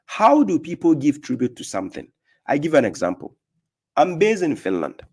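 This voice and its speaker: A man's voice: a little high, wildly swooping pitch, moderate pace, breathy edge. A man from Ghana with a Ghanaian accent, giving informal religious teaching as a preacher, emphatic, repeating himself and often checking that listeners follow. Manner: emphatic, repeats phrases, often asks "do you get my point?". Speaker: Islamic preacher.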